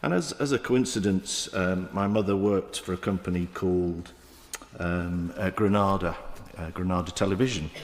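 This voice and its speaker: A man in his fifties with a Manchester accent, giving a halting parliamentary debate speech with many fillers and restarts. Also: sits low. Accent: Mancunian. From England. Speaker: member of the House of Lords. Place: large echoing debating chamber. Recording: roomy chamber with long reverb.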